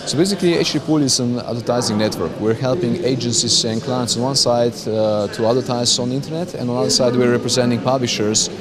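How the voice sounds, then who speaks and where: A man with a Slovenian accent answering interview questions as a company founder, halting as he searches for words. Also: mid-range voice. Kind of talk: interview answers at a business conference. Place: crowded conference venue. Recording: busy event with loud crowd chatter. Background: loud crowd chatter.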